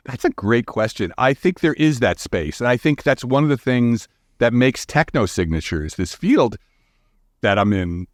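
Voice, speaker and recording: A man with a mid-range voice that swings widely in pitch, explaining ideas as an interviewed scientist. He sounds enthusiastic and emphatic, often checking in with a quick "right?". The sound is close and dry. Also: dry recording. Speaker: guest scientist being interviewed. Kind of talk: animated conversational interview.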